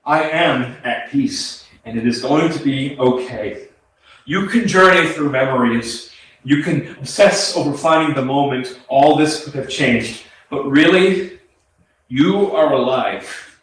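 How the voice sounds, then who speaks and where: A man's voice: mid-range voice, slow pace, fairly bright tone. A man in a staged play reading as the ghost of a brother who died young, speaking earnest, poetic monologue in a grieving, tender way. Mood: solemn, consoling. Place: small arts centre stage, recorded from a distance. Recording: echoey theatre hall, distant mic, faint noise.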